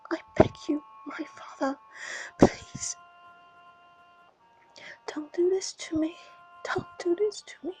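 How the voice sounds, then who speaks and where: A woman's voice: high-pitched, slow pace, long pauses, warm tone, breathy edge, faint music behind, heavily acted, rough recording. A woman voicing a grief-stricken young son, pleading in whispers and anguished cries.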